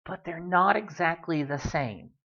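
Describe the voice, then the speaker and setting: A man's voice: on the high side, measured pace, pushed and bright. Guy teaching spanish, rambling and joking, ums everywhere, muffled sound. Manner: chatty, wry asides, many ums and uhs. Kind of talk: casual video lesson.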